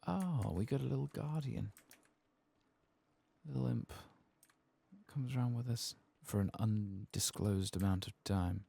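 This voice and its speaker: A man doing soft-spoken ASMR commentary as he plays a video game, murmuring to himself in a low-key way with a gravelly voice. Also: a little slow, pauses often, no echo. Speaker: gaming streamer. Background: keyboard typing and mouse clicks.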